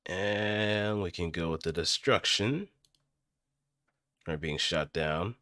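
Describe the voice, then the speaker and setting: A man's voice: low voice, slow pace, pushed and bright, no echo, studio quality. A man talking casually to himself while streaming. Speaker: streamer practising voice acting. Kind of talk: casual self-talk on a livestream.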